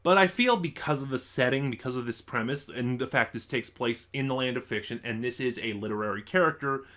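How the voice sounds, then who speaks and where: Man giving a halting, casual review. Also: medium pitch, fairly bright tone. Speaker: reviewer, channel host. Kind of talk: casual spoken audio drama review.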